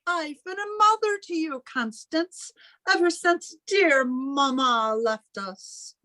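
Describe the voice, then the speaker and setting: A woman's voice: sits high, animated, slow, bright, forceful tone, dry recording, exaggerated voice. A woman playing a graying, commanding, possessive elder spinster sister in a radio drama, stern and formal in manner. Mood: reproachful, indignant. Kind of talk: old time radio drama acting.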